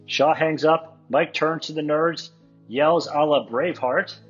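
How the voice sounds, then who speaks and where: A man with a husky voice reading stage directions at a script table read. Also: fairly high pitch, unhurried, lo-fi sound, soft background music.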